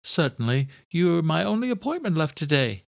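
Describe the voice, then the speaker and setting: A man voicing a courteous but firm female museum director in audiobook dialogue, speaking in a formal, measured, official way. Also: fairly high pitch, big pitch swings, vocal fry, no echo, clean studio sound, pitched above the performer's own voice. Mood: polite, concerned.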